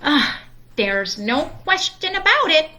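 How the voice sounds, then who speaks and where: Lady doing a strict mama bear from a kids book, fed up, slow and emphatic, swoopy pitch. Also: very animated, pushed and bright, full character voice. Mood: exasperated. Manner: firm, emphatic. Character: firm, exasperated mother bear laying down rules.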